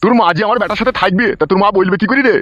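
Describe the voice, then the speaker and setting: A man's voice: high-pitched, very fast, natural voice. A man voicing a crude, blunt old father-in-law who scolds and mocks in a comedy cartoon, with a rural West Bengal accent. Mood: scolding. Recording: dull, very noisy with clicks.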